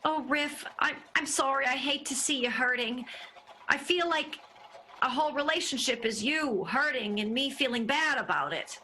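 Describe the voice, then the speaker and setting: A woman's voice: high voice, normal speed, bright, forceful tone, slight background noise. A woman playing an awkward, apologetic and evasive newlywed in an improvised radio comedy.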